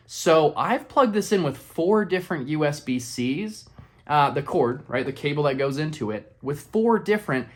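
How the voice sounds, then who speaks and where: A man in his thirties talking informally to the camera in a casual product review, addressing viewers directly in a small indoor room. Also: medium pitch. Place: small indoor room with painted brick wall.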